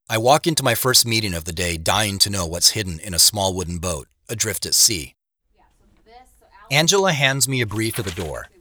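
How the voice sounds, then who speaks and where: A man's voice: on the low side, bright, forceful tone, dry recording. A man playing an obsessive professional puzzling over a mystery, narrating in a confiding, conversational way as if reasoning aloud. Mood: focused. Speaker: narrator and lead character. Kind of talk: first-person audio drama narration, conversational.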